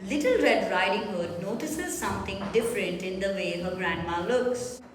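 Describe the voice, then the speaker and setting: A woman's voice: medium pitch, animated, normal speed, noisy background. A woman narrating a children's story from a script for a puppet show. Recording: echoey room with faint music.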